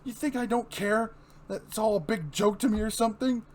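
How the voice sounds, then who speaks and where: A man's voice: sits high, a little fast, pitched above the performer's own voice, natural voice. A man voicing a nervous, defensive husband, a failing comedian, in an amateur comic book reading. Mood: defensive, anguished.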